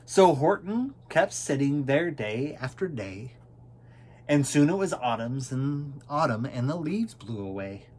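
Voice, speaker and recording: A man narrates a children's storybook in rhyming verse. His medium-pitched voice swoops theatrically, and he takes his time, dwelling on key words. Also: very animated, unhurried, a little mellow. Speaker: storybook reader.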